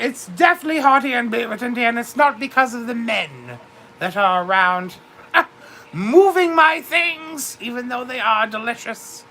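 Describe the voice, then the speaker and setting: A woman's voice: on the high side, bright, forceful tone, a little hiss or hum, full character voice, poor audio. A woman voicing a vain widowed duchess in her fifties with a British accent. She chats theatrically with her viewers and keeps calling everyone darling. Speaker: livestream host. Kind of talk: in-character livestream chat.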